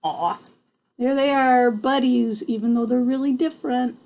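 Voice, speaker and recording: A woman's voice: fairly high pitch, steady tone, unhurried, a little mellow, rough recording. An older woman, a grandmother reading a picture book to children expressively, with playful, exclamatory asides.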